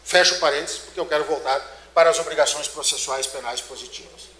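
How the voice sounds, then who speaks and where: A man's voice: a little high, fast, fairly bright tone, slight background noise. A middle-aged Brazilian man giving a law lecture on stage, emphatic and full of rhetorical questions to the audience. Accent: Brazilian Portuguese. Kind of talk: conference lecture. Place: large dark auditorium, on stage. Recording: echoey auditorium.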